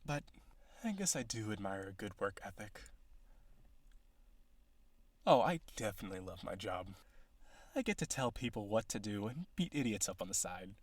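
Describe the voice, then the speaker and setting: A man's voice: on the low side, sing-song, normal speed, pauses often, no room sound. A man playing a cocky, flirtatious businessman villain on a date in an audio roleplay, with a sardonic, teasing, conversational delivery. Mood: smug, easygoing.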